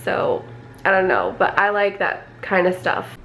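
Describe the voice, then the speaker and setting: A young woman vlogging at home with a raspy voice from a lingering cold, rambling casually and clearing her throat often. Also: medium pitch, sing-song, unhurried, fairly bright tone, poor audio. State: recovering from a cold, coughing. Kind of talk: casual vlog chat. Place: at home, indoors.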